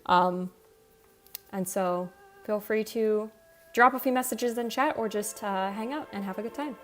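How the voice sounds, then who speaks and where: A woman's voice: medium pitch, unhurried. A young adult woman livestreamer chatting casually with her viewers in a self-deprecating way. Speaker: live streamer. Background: soft video game music.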